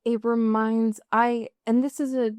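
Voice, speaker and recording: A woman's voice: fairly high pitch, flat delivery, measured pace, a little mellow, smooth, no echo, studio quality. A woman podcast co-host chatting casually as she recaps a show, acting out bits of dialogue and swearing freely.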